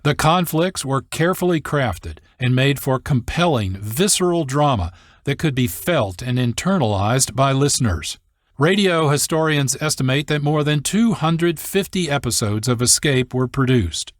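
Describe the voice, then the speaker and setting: A man hosting a radio program, reading scripted, explanatory narration in a measured way. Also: medium pitch, lively intonation, no room sound, studio quality. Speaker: radio show producer and host.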